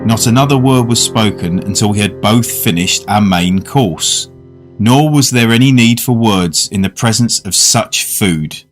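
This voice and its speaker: Guy playing a polite curious gentleman telling his dinner tale in a radio play, lowish voice, formal. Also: music in the background, lightly acted. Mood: reflective. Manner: courteous, measured, formal wording. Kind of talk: radio drama narration and dialogue.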